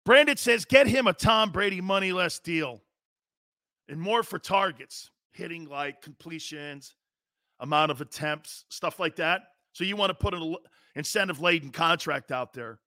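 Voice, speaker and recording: A man's voice: a little high, normal speed, bright, forceful tone, dry recording. A man hosting a sports talk show, speaking emphatically and reading listener messages aloud from a studio.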